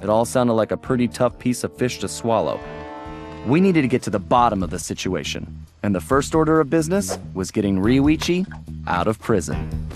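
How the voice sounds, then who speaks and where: A man voicing a cocky, easygoing young raccoon thief, telling his story wryly in an animated video game. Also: fairly low pitch, moderate pace. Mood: dramatic, wry. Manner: wry, smooth storytelling. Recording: clear music bed behind.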